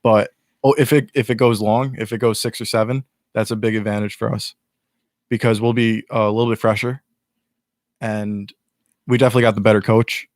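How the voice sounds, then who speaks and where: A man's voice: fairly low pitch, level tone, fairly quick, a little mellow, no room sound, studio quality. A young man guesting on a casual chat show, earnestly breaking down his team's chances. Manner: earnest, explains at length with restarts.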